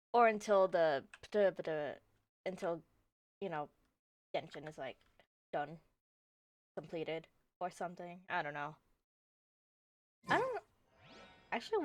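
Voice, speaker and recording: A woman livestreaming a game, chatting casually in a laid-back, rambling, self-deprecating way. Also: lowish voice. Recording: roomy echo, soft background music. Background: soft background music.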